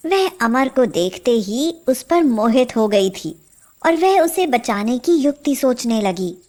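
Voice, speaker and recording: A woman narrating a scripted fairy tale as a storyteller, in a measured manner. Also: a little high, sing-song, a little mellow.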